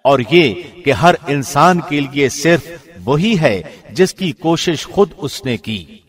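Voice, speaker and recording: A man reading a scripture translation from a script, in a formal, measured radio presenter's delivery. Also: a little high, animated, slightly rough.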